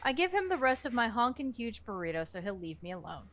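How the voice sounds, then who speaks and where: A woman's voice: on the high side, big pitch swings, fast talker, fairly bright tone. Woman reading a novel aloud. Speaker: book club reader.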